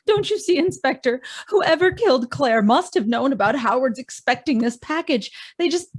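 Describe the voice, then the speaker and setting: A woman's voice: high voice, lively intonation, moderate pace, slightly rough. A woman playing a dramatic, scheming sister in old-time radio mystery style. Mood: earnest. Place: live video call at home. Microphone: video call.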